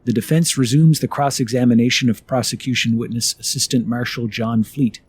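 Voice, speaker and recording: A man's voice: mid-range voice, steady tone, fairly quick, a little hiss or hum. A man giving scripted narration for a dramatized court transcript.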